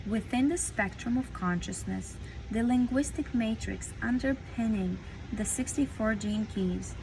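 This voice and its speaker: A woman's voice: fairly high pitch, measured pace, noisy background. Latvian woman reading aloud at midnight. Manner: dreamy, emphatic, rambling commentary between read passages. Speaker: spiritual book reader.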